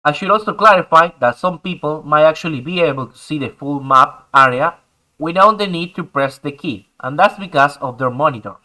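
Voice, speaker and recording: A man's voice: fairly high pitch, fairly quick, pushed and bright, poor audio. A young man whose first language is Spanish, patiently explaining a game map editor step by step in English. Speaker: tutorial host. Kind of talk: screen-recorded game tutorial.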